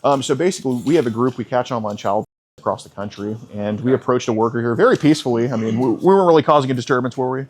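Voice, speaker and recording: A man calmly questioning someone and then explaining the situation to police, in halting speech full of restarts, indoors at a casino. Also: medium pitch, a little hiss or hum. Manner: calm, halting, with many restarts. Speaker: sting confrontation host. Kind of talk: confrontational questioning.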